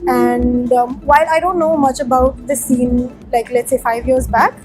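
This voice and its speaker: A woman's voice: high voice, fairly even intonation, moderate pace, darkish tone, soft background music. A woman from Sikkim whose first language is Nepali, a musician answering interview questions in a chatty, candid way with plenty of fillers. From Sikkim, India.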